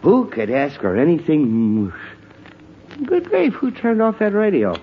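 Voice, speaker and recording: A muffled, faintly noisy vintage radio recording of a flustered suburban father. He exclaims and mutters to himself in broad radio-comedy style, his pitch jumping around with every small frustration. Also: wildly swooping pitch, a little slow, full character voice. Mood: exasperated. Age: middle-aged. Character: excitable, easily flustered suburban family father. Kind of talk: 1950s radio comedy acting.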